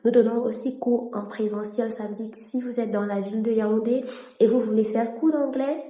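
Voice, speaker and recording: A woman's voice: a little high, fairly even intonation, normal speed, mellow. A young woman from Cameroon, a French native speaker, telling her story to camera in a casual vlog and slipping into English mid-sentence. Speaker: vlogger and interpreter. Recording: echoey room.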